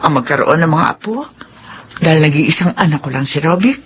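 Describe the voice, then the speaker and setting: A wealthy, middle-aged Filipino mother in radio melodrama. She is controlling and persuasive, with a lively, swooping pitch and deliberate, imploring phrasing. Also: lo-fi sound, slight background noise. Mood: cold, coaxing.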